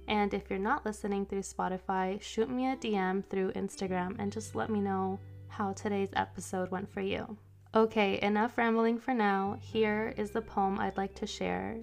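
A woman hosting her own reflective podcast, congested from a cold and speaking slowly and gently as she reads her own poetry. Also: medium pitch, gravelly. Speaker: podcast host.

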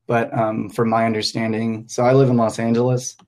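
A man's voice: mid-range voice, level tone, a little fast, darkish tone. A young man from Hong Kong, a teacher and podcast guest, talking casually and hesitantly, thinking aloud with many fillers.

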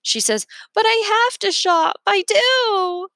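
Woman acting a young woman, squeaky high pitch, whiny, pouty, pleading, tearful storybook character. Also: takes it slowly, gravelly, pushed and bright, no room sound, studio quality, exaggerated voice. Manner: pleading, stuttering.

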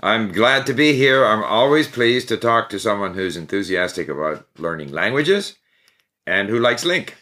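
An older man from Canada, a guest in an interview, telling his story in a relaxed, reflective way. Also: fairly low pitch, moderate pace. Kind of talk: interview conversation.

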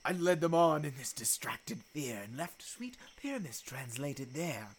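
A woman playing a mischievous, excitable fairy sprite, telling gleeful tales in theatrical verse for a radio play. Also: sits low, unhurried, breathy edge, full character voice. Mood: excited mockery. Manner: dramatic, gleeful storytelling.

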